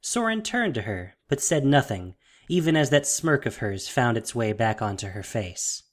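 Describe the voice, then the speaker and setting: A man's voice: medium pitch, very animated, normal speed. A man reading scripted fantasy fiction as the narrator of an audio drama, in a dramatic storytelling style. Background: faint music.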